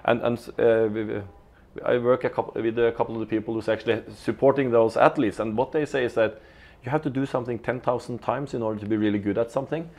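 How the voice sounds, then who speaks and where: A man's voice: mid-range voice, fairly even intonation, fast, a little mellow. A man in his fifties with a Norwegian accent, giving business interview answers and often restarting and repeating words. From Norway. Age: 50s. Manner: hesitant, repeats words mid-sentence. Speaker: retail executive guest. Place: company studio in an office.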